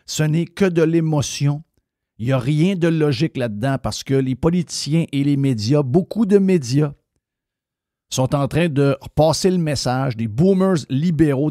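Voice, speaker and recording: A man's voice: medium pitch, dark tone, no echo, studio quality. A man with a Québécois French accent hosting a radio talk show, ranting bluntly and swearing freely. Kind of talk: opinionated radio rant.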